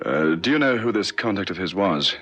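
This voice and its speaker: Low, husky police detective. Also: male voice, expressive, fairly quick, fairly bright tone, heavily acted. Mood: polite, official. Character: polite, methodical, probing male police detective sergeant. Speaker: actor in a radio police drama. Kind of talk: old South African radio drama acting.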